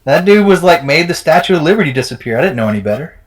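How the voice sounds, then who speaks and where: A man hosting a crypto game livestream, talking in an energetic, promotional way. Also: fairly high pitch, very fast, soft background music. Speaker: livestream host.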